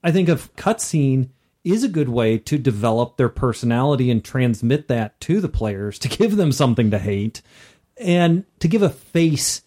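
A man's voice: medium pitch, very animated, moderate pace, mellow. A man co-hosting a casual, conversational discussion about tabletop games. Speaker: podcast co-host and tabletop gamer.

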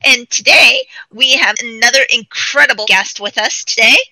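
A woman's voice: a little high, normal speed, pushed and bright, dry recording, low-quality recording. A woman hosting an interview show, gushing and enthusiastic as she welcomes a guest. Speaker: show host.

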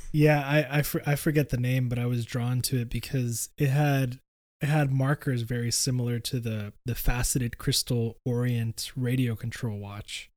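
A man's voice: medium pitch, steady tone, moderate pace, a little mellow. A man co-hosting a podcast in casual chat, laid-back and wry. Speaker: podcast co-host.

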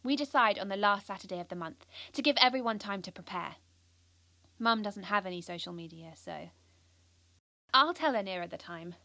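A woman's voice: medium pitch, bright, forceful tone, dry recording. A British woman playing an earnest, driven teenage schoolgirl activist, confiding in the listener in an audio drama monologue. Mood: confiding, wry.

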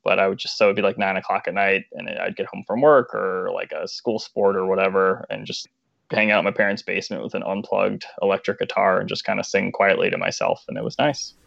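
A man's voice: low-pitched, brisk, vocal fry, fairly bright tone, no room sound, muffled recording. A man who is a guest on a podcast, chatting casually and searching for his words with many fillers. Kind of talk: casual interview chat.

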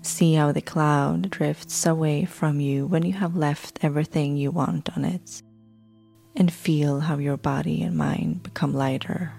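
Female sleep-hypnosis guide: soft, soothing delivery, unhurried pace, noticeable vocal fry, music underneath. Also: low-pitched, fairly even intonation. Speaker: podcast host guiding sleep hypnosis. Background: background music.

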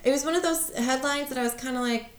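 A woman's voice: sits high, quick pace, reverberant, crowd noise. A woman co-hosting a podcast, chatting casually and dropping dry, sarcastic jokes. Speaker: podcast co-host. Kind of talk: casual chat.

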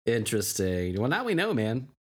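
A man's voice: medium pitch, moderate pace, husky. A man co-hosting a podcast in loose, joking chat, laughing often as he talks. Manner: joking, laughs often, lively rises and falls. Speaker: podcast co-host.

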